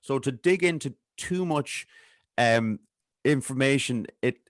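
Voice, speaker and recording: A man's voice: mid-range voice, measured pace, no echo. A man hosting a podcast, asking loose, modest questions with lots of fillers. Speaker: podcast host. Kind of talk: casual interview chat.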